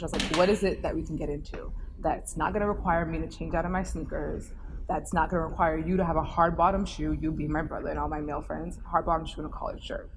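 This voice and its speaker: A woman co-founder speaking casually and energetically in an interview, with many "like" fillers. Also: low voice. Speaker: event co-founder. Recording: noticeable background noise.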